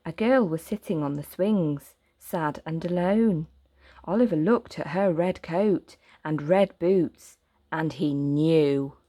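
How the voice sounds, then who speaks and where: Langsam erzählende Frau mit eher tiefer Stimme. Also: female voice, low-pitched, slow, mellow, no room sound. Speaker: storyteller. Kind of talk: children's story read-aloud narration.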